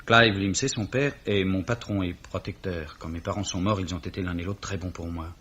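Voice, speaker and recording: An actor playing an earnest, fussy young English gentleman, plaintive and polite, in 1950s French radio drama style. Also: deep voice, steady tone, moderate pace, a little hiss or hum. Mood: pained, earnest. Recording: dull, slightly rough old radio recording.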